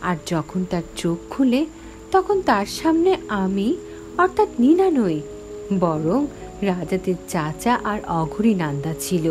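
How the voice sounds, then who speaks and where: A woman's voice: medium pitch, animated, takes it slowly. A woman narrating a scripted horror story dramatically, in the first person as its heroine. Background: music.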